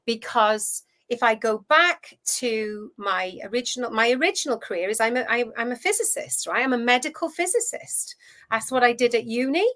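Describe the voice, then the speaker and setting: A Welsh woman in her fifties, a coach telling her career story in a chatty, animated way as an interview guest. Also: on the high side, normal speed. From Wales. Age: 50s. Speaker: guest, food relationship coach. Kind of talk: casual interview chat.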